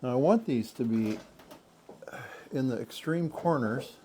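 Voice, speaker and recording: A man talking through a do-it-yourself boat project as he works, thinking aloud in halting, self-correcting bits. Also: mid-range voice, expressive, noisy background. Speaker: boat owner doing a DIY project. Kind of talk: casual DIY narration while working.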